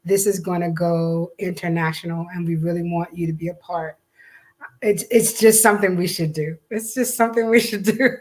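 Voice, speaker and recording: An American woman with a slightly low-pitched voice co-hosts an informal promotional chat. She talks in a conversational, emphatic way, often saying a phrase again to drive it home. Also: fairly even intonation, moderate pace. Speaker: co-host and event organizer.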